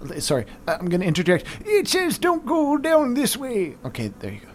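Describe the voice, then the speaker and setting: A man's voice: very high-pitched, huge pitch swings, a little fast. A man playing a tabletop game, describing his character's moves in a loose, casual, playful way. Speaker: player. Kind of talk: casual tabletop roleplay chat. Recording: faint background noise.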